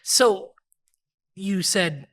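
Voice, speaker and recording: A man hosting a casual interview, asking questions in a friendly, relaxed way. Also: sits high, drawn-out words. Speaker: podcast host.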